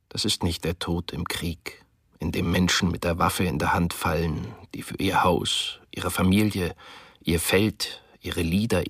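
A man's voice: low voice, fairly bright tone, no echo, studio quality. A man giving a solemn reading of a wartime text for a radio documentary, mournful and declamatory. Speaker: reader of a translated wartime text.

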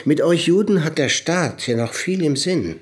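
An actor playing a blustering, greedy house buyer in a radio drama, wheedling and condescendingly jovial. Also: male voice, fairly high pitch, animated, normal speed, natural voice. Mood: wheedling, haggling.

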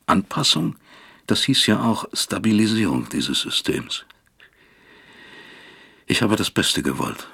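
An actor playing a guilt-ridden middle-aged father in an introspective radio drama, gravelly and husky, brooding and questioning himself in halting phrases. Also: fairly low pitch, big pitch swings, sharp tone, full character voice. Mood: self-accusing.

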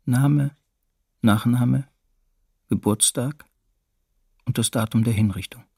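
An actor playing a sober wartime witness who recounts his arrest in measured first-person testimony, in a documentary radio play. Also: mid-range voice, creaky, a little mellow, husky, no echo, studio quality, heavily acted. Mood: grim.

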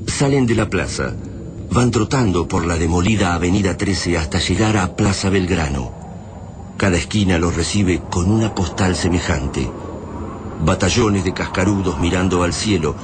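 A man with an Argentine accent acting as the grave, deliberate narrator of a science-fiction radio serial. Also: deep voice, close to an everyday voice. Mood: grave, ominous. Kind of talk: dramatic scripted radio narration. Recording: clearly noisy background ambience.

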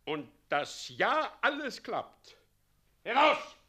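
A pompous scheming tyrant, swooping wildly. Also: male voice, extremely high pitch, sing-song, extremely slow, creaky, pushed and bright, slightly rough, full character voice. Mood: imperious, angry. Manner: theatrical, swinging from commanding to wheedling. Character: scheming, pompous tyrant president, doting mockingly on his son. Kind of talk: German radio play acting.

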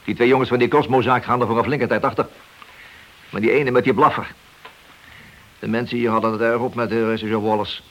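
An actor playing a wily senior police detective in a radio play, leisurely and needling behind a friendly front. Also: male voice, medium pitch, fairly even intonation, brisk, long pauses, highs cut off, close to an everyday voice. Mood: quietly menacing. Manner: slyly affable, leisurely, needling. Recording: hissy old radio play recording.

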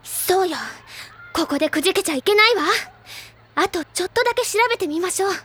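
An excitable high school senior girl in a radio play. Her voice is strikingly high and girlish. She talks with earnest, theatrical conviction, stubborn and full of fancy. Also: brisk. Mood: determined. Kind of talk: audio drama dialogue, anime-style acting.

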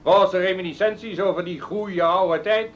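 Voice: mid-range voice, moderate pace, close to an everyday voice. An actor playing a combative, indignant politician giving a declamatory farewell speech in a radio play, heard on tape. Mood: indignant, defiant. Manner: declamatory, forceful. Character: Slager, combative, indignant politician and departing broadcasting chair. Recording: noisy, dull tape recording of speech.

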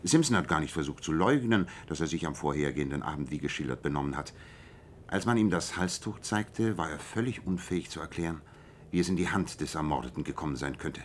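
A low-pitched, self-assured detective in a radio play. He lays out his reasoning in a measured, precise way, over a bed of light background noise. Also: animated. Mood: analytical, assured. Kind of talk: German radio play dialogue.

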